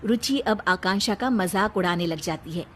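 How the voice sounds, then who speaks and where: A woman's voice: medium pitch, normal speed. A woman narrating a scripted cartoon family story in the role of storyteller.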